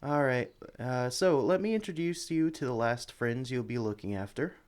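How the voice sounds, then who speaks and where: A man playing a friendly, caring animal sanctuary worker in an ASMR roleplay, talking gently and encouragingly to a newcomer. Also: mid-range voice, expressive, normal speed, smooth, lightly acted. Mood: upbeat, encouraging. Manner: gentle, encouraging, chatty, coos to creatures. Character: friendly, caring experienced male Pokemon sanctuary worker showing newcomer around. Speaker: voice actor in audio roleplay. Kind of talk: ASMR roleplay, acted one-sided conversation.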